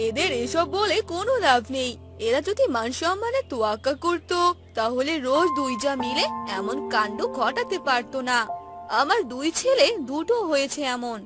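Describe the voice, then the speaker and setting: A woman voicing a sharp-tongued, exasperated elderly mother-in-law in a Bengali cartoon, complaining dramatically. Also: high voice, very animated, moderate pace, over music.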